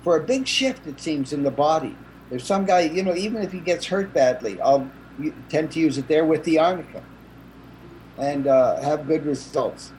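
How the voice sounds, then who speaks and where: A man guest in a casual chat, jokey and animated, speaking over a video call. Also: fairly high pitch, moderate pace, a little hiss or hum, low-quality recording. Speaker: interview guest.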